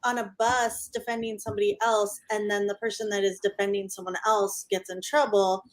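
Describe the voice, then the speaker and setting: A woman in her thirties speaking as a guest in a casual panel discussion, halting and rephrasing as she goes. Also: mid-range voice, level tone, rough recording.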